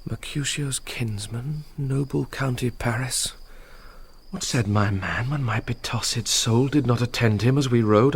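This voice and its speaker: A grief-stricken young British nobleman in a Shakespearean radio play. He is intense and desperate, speaking verse at a medium pitch over faint background noise. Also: fairly bright tone. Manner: intense, theatrical verse delivery. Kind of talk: Shakespearean radio drama acting.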